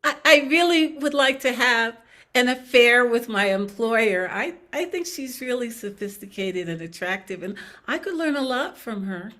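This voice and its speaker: A woman's voice: on the high side, expressive, normal speed, bright, forceful tone. A woman in an improvised comedy playing an eager young employee who adores her boss and speaks earnestly with hesitant starts. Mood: adoring. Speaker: improv performer.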